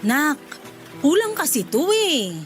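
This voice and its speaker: A woman's voice: sits high, big pitch swings, slow, soft background music, heavily acted. An actress playing a demanding middle-aged Filipina mother who nags and pleads, in a Tagalog radio drama. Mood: impatient.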